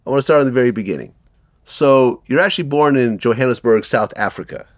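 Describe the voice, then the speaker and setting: A man's voice: mid-range voice, expressive, fast talker, dry recording, clean studio sound. A middle-aged man hosting an interview, asking friendly conversational questions. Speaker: interview host.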